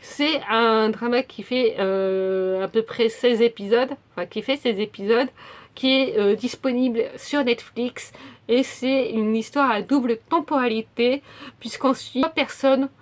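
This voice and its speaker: Fairly high-voiced woman reviewing dramas. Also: steady tone, measured pace. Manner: hesitant, many filler sounds and restarts. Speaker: book and drama vlogger. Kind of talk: casual spoken review.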